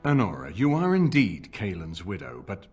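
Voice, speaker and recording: Deep, lively, seasoned nobleman. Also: normal speed, faint music behind. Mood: measured, sceptical. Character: seasoned, kindly nobleman and loyal ally. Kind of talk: fantasy game voice acting.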